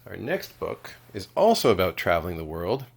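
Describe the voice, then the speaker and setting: A man's voice: deep voice, very animated, normal speed, gravelly, slightly rough. A man reading children's storybooks aloud expressively, like a kindergarten teacher.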